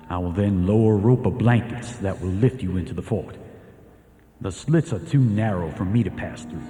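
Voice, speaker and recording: Casting: young captive soldier, low-voiced, earnest, pleading letter-writer for radio drama; music underneath. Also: male voice, mellow. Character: imprisoned young soldier writing longingly to his wife. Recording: long hall reverb, music underneath.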